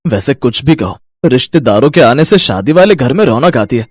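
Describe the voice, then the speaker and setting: A man's voice: medium pitch, animated, normal speed, no room sound, clean studio sound, close to an everyday voice. A man voicing a cheerful young husband in a cartoon story.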